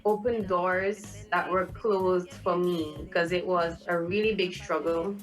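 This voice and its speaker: A woman's voice: on the low side, fairly even intonation, slow, recorded from a distance, soft background music. A woman from Dominica, answering interview questions in an easygoing way with frequent ums. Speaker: musician guest.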